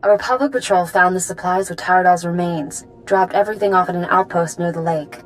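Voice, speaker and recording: An actress playing a brisk, practical young woman who hands out missions in a video game, matter-of-fact in manner. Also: fairly low pitch, soft background music, close to an everyday voice, low-quality recording.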